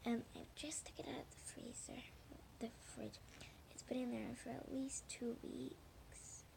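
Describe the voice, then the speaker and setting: A woman chatting casually and haltingly through a hands-on demonstration for viewers, with a hoarse, breathy voice that keeps thinning into a whisper. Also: fairly high pitch, steady tone. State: hoarse, losing her voice. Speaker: slime video maker talking to viewers. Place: at home indoors. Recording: noisy amateur home recording.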